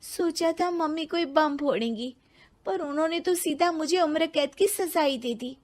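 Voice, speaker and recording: Cartoon college student, played by a woman: young adult female, sassy, casual, very high voice. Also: moderate pace, a little mellow, natural voice. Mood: wry. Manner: casual, sassy back-talk. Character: cheeky, carefree, defiant young college woman. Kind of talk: animated moral story acting.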